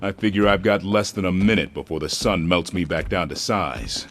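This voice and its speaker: A man's voice: deep voice, normal speed, fairly bright tone, a little hiss or hum. A man playing a good-natured creature hero in a video game, speaking in an easygoing, dry manner. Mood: amused confidence. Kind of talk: video game cutscene acting.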